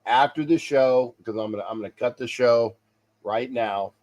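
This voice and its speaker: A man's voice: mid-range voice, normal speed, darkish tone. Congested man rambling on a livestream. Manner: rambling, repeats himself, restarts sentences; chuckles to himself. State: congested, stuffy nose. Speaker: sports talk show host. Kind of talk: casual livestream chat with viewers.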